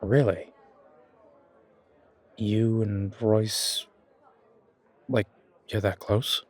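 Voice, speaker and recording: A man's voice: on the low side, fairly even intonation, extremely slow, darkish tone. A man playing a self-doubting chef in an audio roleplay, with a casual, hesitant, slangy way of talking. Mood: surprised. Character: self-doubting male chef, casual dreamer opening his restaurant. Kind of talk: fan audio roleplay acting.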